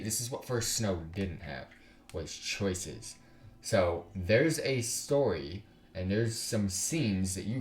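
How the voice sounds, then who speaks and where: A man with a fairly low voice hosts a let's play. He talks in a blunt, casual, slangy way and switches between reading aloud and commenting. The room has a clear echo, with music faintly playing behind him. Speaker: game streamer, host.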